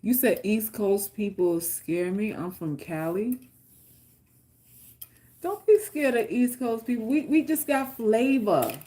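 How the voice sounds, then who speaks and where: A woman's voice: on the high side, expressive, mellow. Brash joking woman with a New York accent. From New York, Queens. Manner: blunt, joking, swears freely, quick asides. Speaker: livestream host reading chat. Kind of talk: casual unscripted chat.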